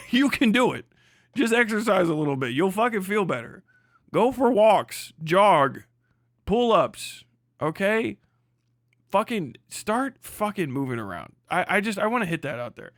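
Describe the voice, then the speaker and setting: A man's voice: high-pitched, big pitch swings, unhurried, a bit bright, no room sound, clean studio sound. A man on a casual livestream rant, blunt and hectoring, swearing heavily as he speaks. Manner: mocks others in put-on voices. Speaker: livestream host.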